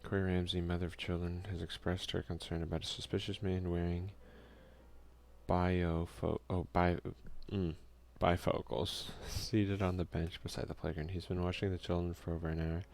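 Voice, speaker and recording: A soft-spoken man doing an ASMR let's play, reading game text aloud and commenting in a hushed voice that at times slips into a whisper. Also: low voice, level tone, moderate pace, gravelly. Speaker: gaming video creator and player.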